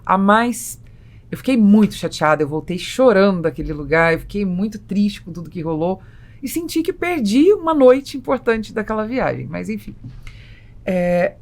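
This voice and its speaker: A woman's voice: mid-range voice, big pitch swings, normal speed, a little mellow. A Brazilian woman hosting a conversational podcast interview, putting questions to her guests.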